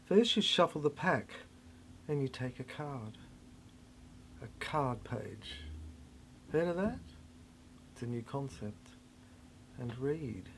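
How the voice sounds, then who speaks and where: A performer delivering a theatrical spoken-word monologue in fragmented, halting phrases with dramatic swings. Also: medium pitch, lively intonation, creaky, a little hiss or hum.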